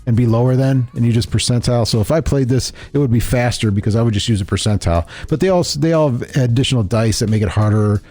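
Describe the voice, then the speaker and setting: A man's voice: mid-range voice, fast, hardly any pauses, mellow. A middle-aged man hosting a podcast, chatting loosely about a tabletop game with lots of fillers and restarts. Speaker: host presenting a tabletop game. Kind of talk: casual podcast chat. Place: shop or studio room.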